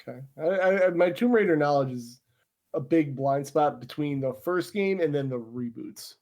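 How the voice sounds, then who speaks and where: A man's voice: on the high side, normal speed, darkish tone. Rambling casual podcast co-host, man. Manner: rambling, many fillers. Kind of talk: casual chat.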